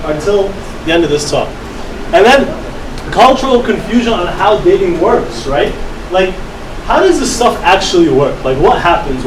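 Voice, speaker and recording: A man born and raised in the US gives a casual talk to a live audience, speaking conversationally and tagging his lines with a rhetorical "right?". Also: high-pitched. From United States. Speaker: youth speaker. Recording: echoey room recording from a distance with air-conditioning hum.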